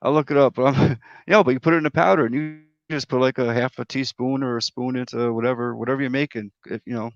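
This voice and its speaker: A man's voice: medium pitch, fairly even intonation, fast talker, hardly any pauses, muffled recording. A man chatting on an online panel, rambling and restarting his sentences. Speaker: panel guest. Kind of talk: casual online panel chat.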